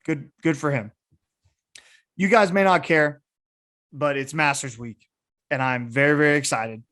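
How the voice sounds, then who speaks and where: A man's voice: fairly high pitch, measured pace, fairly bright tone. A man hosting a sports podcast, giving casual, enthusiastic commentary like a keen fan.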